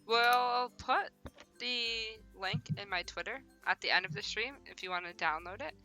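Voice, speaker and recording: A woman hosting a casual game live stream, expressive and slangy in her banter. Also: medium pitch, moderate pace, soft background music. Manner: slangy, expressive, prone to giggling. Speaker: streamer hosting a visual novel playthrough.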